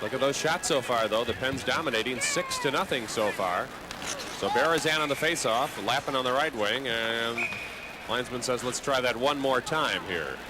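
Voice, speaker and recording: Man calling hockey over loud arena noise. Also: mid-range voice, normal speed. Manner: fluent running description of play. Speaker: play-by-play hockey announcer. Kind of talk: live sports commentary. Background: loud hockey arena ambience.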